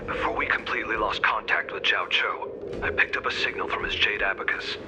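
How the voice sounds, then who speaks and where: An actor playing a military subordinate in a game, giving a formal, measured report. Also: male voice, fairly low pitch, steady tone, lightly acted. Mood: serious, assured. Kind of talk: game cutscene dialogue. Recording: loud background noise.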